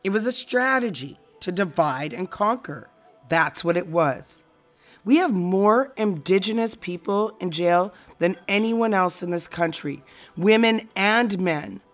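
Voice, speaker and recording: A woman acting as a serious, reflective friend in a naturalistic audio drama, speaking in a measured, deliberate way. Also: mid-range voice, animated, soft background music, close to an everyday voice. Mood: grave.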